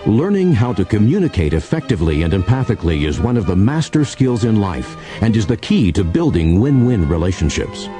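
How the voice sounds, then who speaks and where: A man narrates a scripted program introduction in the role of announcer. His voice sits low and moves with very lively intonation. Music plays behind him. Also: a little fast, hardly any pauses.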